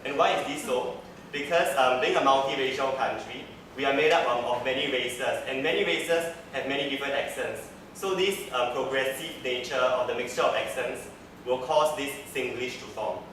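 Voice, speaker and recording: Young man, Singaporean English accent, earnest student presenter, recorded from a distance in a boomy room with faint background hiss. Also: normal speed, fairly bright tone. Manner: earnest, structured, repeats "so" to link points. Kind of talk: class presentation. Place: classroom. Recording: echoey classroom, mic far off.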